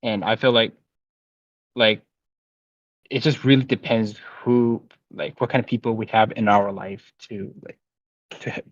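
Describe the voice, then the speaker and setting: A man speaks haltingly on a panel, hunting for words through many fillers. The sound is dry and somewhat muffled and lo-fi. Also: lowish voice, steady tone, dry recording. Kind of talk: casual panel discussion.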